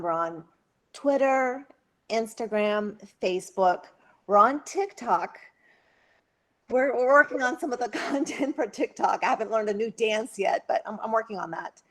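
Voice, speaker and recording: A woman talking casually on a video call, her voice pitched in the middle. She is chatty, laughs often and leans on fillers as she talks. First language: English. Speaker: social media volunteer. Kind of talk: casual conference talk.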